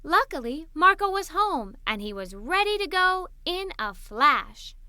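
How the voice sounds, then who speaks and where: High, bright, animated woman storyteller. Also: unhurried, no echo, studio quality. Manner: animated, expressive. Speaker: children's story narrator. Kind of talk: scripted read-aloud storytelling for kids.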